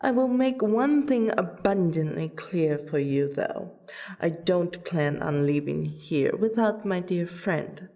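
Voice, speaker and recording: A woman voicing a proud, protective female vampire princess in an audio roleplay, with wry, deliberate, theatrical phrasing. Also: lowish voice, lively intonation, mellow. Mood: firm, bargaining. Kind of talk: ASMR audio roleplay acting.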